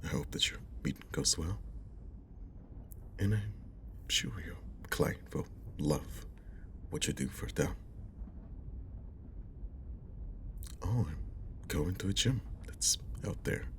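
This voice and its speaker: A man playing a friendly, caring young old school friend in an ASMR roleplay, speaking softly and hesitantly with a breathy half-whisper, close to the mic. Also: deep voice, lively intonation, unhurried, pauses often, a little hiss or hum. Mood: warm, chatty. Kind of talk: ASMR roleplay, intimate one-sided conversation.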